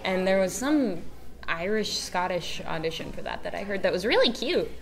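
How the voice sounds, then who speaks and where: Excitable young woman, crowd behind. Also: medium pitch, moderate pace. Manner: chatty, excitable, giggly. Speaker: voice actor panelist. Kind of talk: casual panel chat. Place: convention panel room.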